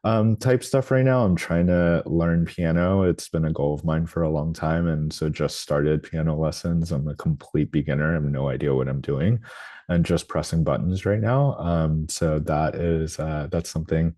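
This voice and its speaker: Low, mellow man presenting with many ums. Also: steady tone, moderate pace, no echo, clean studio sound. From New Orleans, United States. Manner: hesitant, lots of ums and restarts. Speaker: workshop presenter. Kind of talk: live training presentation.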